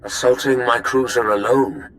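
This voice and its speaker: An actor playing a cold, taunting dark lord in a video game, speaking with deliberate menace. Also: male voice, mid-range voice, fairly even intonation, slight background noise, natural voice, rough recording. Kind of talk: video game villain acting.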